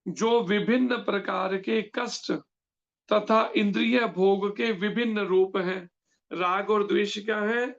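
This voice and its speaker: A high-pitched man with a gravelly edge in his voice leads a devotional scripture lecture. He explains point by point and often pauses to ask his listeners questions. The recording sounds dull and lo-fi. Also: fairly even intonation. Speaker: scripture class teacher.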